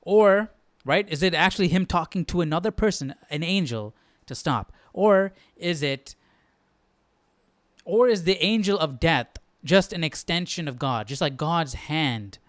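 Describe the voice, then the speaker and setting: A man's voice: a little high, expressive, measured pace, a bit bright, no room sound. A man hosting a Bible study livestream, talking casually and thinking aloud in questions. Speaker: host of a live Bible study.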